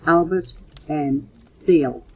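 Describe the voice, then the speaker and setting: An older woman reading poems aloud in a measured, earnest way, recorded on a muffled old home cassette. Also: sits low, slightly rough. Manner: measured, earnest recitation, announcing titles and authors. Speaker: mother reading poems for her children. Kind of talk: recited poetry reading.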